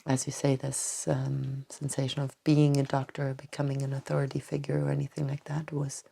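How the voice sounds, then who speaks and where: Low, gravelly, soft-spoken woman. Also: monotone, a little fast. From Tanzania. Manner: hesitant, many restarts and soft breathy trailing phrases. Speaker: doctor and acupuncturist, podcast guest. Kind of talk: reflective interview answers. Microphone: podcast microphone.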